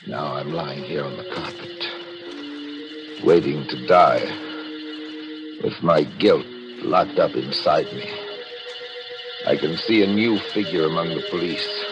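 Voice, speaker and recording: A man's voice: rumbling low, slow, long pauses, muffled recording, heavily acted. An actor playing a guilt-ridden murderer narrating his own story in 1940s radio thriller style, brooding and melodramatic with desperate outcries. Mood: bleak, resigned. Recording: old radio drama with music.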